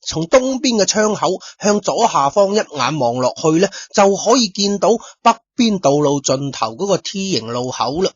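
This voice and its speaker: A man's voice: high-pitched, sing-song, moderate pace, hardly any pauses, breathy edge, no echo, dull audio. A man with a Cantonese accent narrating a story in colloquial radio-drama style, speaking directly to his listeners. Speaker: storyteller, host.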